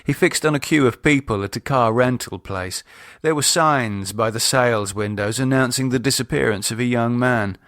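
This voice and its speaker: A man reading scripted novel narration in a measured storytelling manner. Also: mid-range voice, fairly bright tone, dry recording, studio quality. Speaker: author narrating his own novel.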